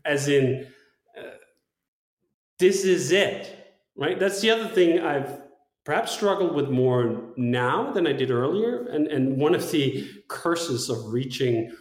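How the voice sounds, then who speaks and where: Male interview guest, slightly high pitch, reflective and emphatic conversational delivery, roomy sound. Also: animated, takes it slowly. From Denmark. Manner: philosophical, thinking aloud, emphatic. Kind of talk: reflective long-form conversation.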